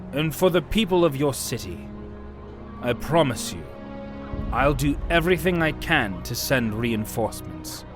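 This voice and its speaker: A man voicing an earnest, noble warrior in a fantasy audiobook, sincere and formal in his speech. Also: medium pitch.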